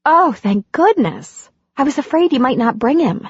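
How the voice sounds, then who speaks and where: A woman voicing a warm, anxious middle-aged woman in an audiobook, talking brightly and quickly. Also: big pitch swings, no echo. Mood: eager. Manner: bright and eager, higher than her narration. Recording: dry, dull lo-fi audiobook recording.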